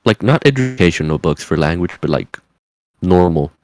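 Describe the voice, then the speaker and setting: A man's voice: sits low, expressive, moderate pace, darkish tone, no echo. A man co-hosting a podcast, chatting casually and joking about learning languages. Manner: playful, jokey, easygoing. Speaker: podcast co-host. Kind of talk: casual chat.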